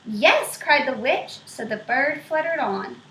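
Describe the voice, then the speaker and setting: A woman reading a picture book aloud to children in an expressive way, stopping to ask them questions. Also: high-pitched, slight background noise. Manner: expressive, pausing to quiz young listeners. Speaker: storybook reader. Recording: roomy echo.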